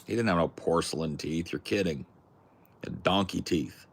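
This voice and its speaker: A man's voice: low-pitched, normal speed, gravelly, slightly rough. A young man from California with a Californian accent, chatting casually in a rambling, jokey, crude way. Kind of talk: casual chat.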